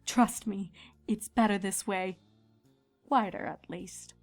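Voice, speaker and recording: A woman voicing a regal elder pony princess and mentor from a storybook, speaking in a measured, formal, diplomatic way. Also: medium pitch, steady tone, darkish tone, faint music behind. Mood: disapproving.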